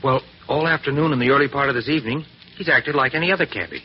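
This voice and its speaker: An actor playing a brisk, slangy detective's assistant in a vintage radio drama. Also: male voice, mid-range voice, natural voice. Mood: eager, wry. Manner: brisk, colloquial. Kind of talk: 1940s radio acting. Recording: dull, faintly noisy vintage radio recording.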